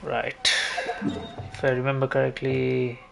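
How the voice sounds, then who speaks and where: A man's voice: medium pitch, monotone, measured pace, gravelly. A man giving casual gameplay commentary as a streamer, musing and thinking aloud to himself. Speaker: game streamer. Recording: game music behind the voice.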